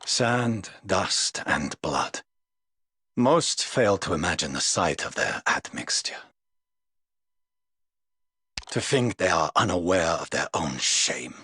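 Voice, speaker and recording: A world-weary knight of few illusions, speaking in a very low, husky register. His lines come out measured and dry. The studio sound is close and dead. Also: very animated, bright, forceful tone, no room sound, full character voice. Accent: English. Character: weary aloof middle-aged male knight. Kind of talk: game voice lines.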